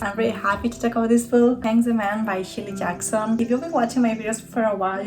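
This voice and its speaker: A woman's voice: a little high, rough recording. A woman from Spain with a Spanish accent, talking casually and eagerly as a book vlogger, often correcting herself. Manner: chatty, enthusiastic, self-correcting. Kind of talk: casual book review talk.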